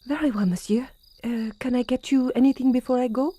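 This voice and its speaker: An actress playing a concerned female servant to a French gentleman in an audio horror drama, polite, tentative and deferential. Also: high voice, brisk, slightly rough, no echo. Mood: gentle deference.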